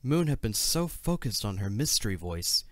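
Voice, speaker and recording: A man's voice: on the low side, big pitch swings, moderate pace, no room sound, studio quality. A man narrating an audio drama from a script as the storyteller. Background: soft music.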